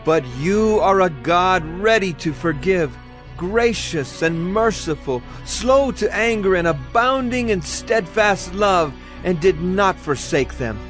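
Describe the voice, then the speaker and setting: A high male voice declaims over music. It is a devout Levite played by a man exalting God in public prayer. The delivery is fervent and reverent, close to a chant, in the manner of dramatized scripture. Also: lively intonation, slow pace, fairly bright tone, heavily acted. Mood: reverent, grateful. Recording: music bed under the voice.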